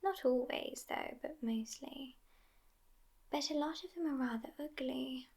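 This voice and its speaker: A woman acts out a haughty, sassy vampire girlfriend who has lived for centuries yet sounds youthful. She talks slowly and languidly in a hushed, near-whispered ASMR style. Her female voice sits a little high and often slips into fry. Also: heavily acted. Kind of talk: soft-spoken ASMR roleplay.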